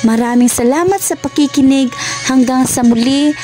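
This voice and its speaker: A woman's voice: sits high, normal speed, over music. A woman narrating a scripted radio drama in a solemn, formal manner.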